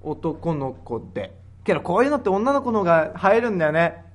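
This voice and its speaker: A man with a fairly high voice and very varied intonation. He talks casually as a comedy radio co-host, playfully spinning out silly ideas in a conversational back-and-forth. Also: big pitch swings, a little fast. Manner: playful, pitches absurd ideas. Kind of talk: casual comic brainstorming.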